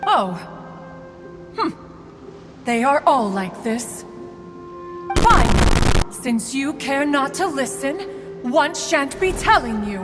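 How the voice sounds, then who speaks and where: A woman's voice: high voice, very animated, slow pace, long pauses, long reverb tail, music in the background, exaggerated voice. A woman voicing an ancient crane spirit in a game who speaks formally and calls herself "one". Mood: stately. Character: ancient crane spirit, haughty, motherly, aloof. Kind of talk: English game voice acting.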